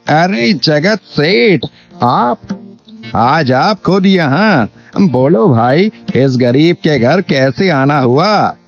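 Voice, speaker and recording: Male actor as greedy village landlord, cartoon story, falsely humble, drawn-out delivery, dull audio with faint music. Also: on the high side, animated, heavily acted. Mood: oily flattery. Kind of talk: Hindi animated moral story acting.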